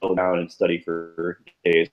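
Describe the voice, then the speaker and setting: Male co-host, lowish pitch, loose rambling delivery. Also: completely flat delivery, measured pace, highs cut off, a little hiss or hum. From United States. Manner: rambling, many restarts and fillers. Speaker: podcast co-host. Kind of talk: casual chat.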